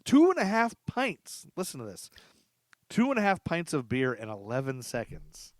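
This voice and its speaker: A man's voice: on the high side, huge pitch swings, normal speed, no echo, clean studio sound. A man hosting a casual history podcast, rambling through a story and laughing often. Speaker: podcast host.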